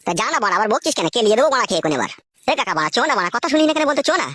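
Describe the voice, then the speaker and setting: A woman's voice: fairly high pitch, big pitch swings, rapid-fire, a bit bright, dry recording, clean studio sound. A woman voicing a bossy, impatient young village lad in a comic cartoon, nagging crudely.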